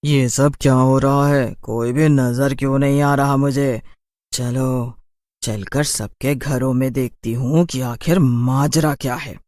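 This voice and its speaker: A man voicing a sly, boastful city witch in a cartoon horror story, in a slow drawl. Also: mid-range voice, steady tone, a little mellow, no room sound, studio quality, lightly acted. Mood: puzzled.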